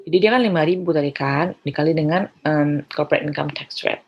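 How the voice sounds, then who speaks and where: A woman's voice: low voice, fairly quick, slightly rough, muffled recording. An Indonesian woman lecturing through slides in an explanatory way, mixing in English terms and often adding small check-in tags. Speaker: accounting lecturer.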